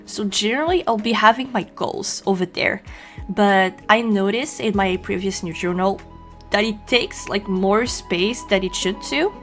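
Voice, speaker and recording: A woman's voice: mid-range voice, a little slow, fairly bright tone, faint music behind. Chatty young woman with a Quebec French accent. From Quebec, Canada. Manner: chatty and friendly, frequent ums and you-knows. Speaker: journaling channel host. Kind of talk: casual voice-over narration while drawing.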